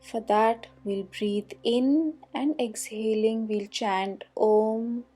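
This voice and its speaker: A woman guides a meditation at an extremely slow pace, leaving long pauses between instructions. Her voice sits a little high yet stays mellow and relaxed. The delivery is calm and soothing throughout. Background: soft meditation music.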